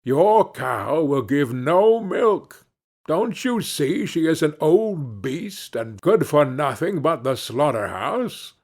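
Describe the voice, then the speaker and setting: Man reading as a slow, folksy storybook butcher. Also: fairly high pitch, sing-song, a little mellow, heavily acted. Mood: blunt, helpful. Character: sly, hearty male village butcher feigning kindness.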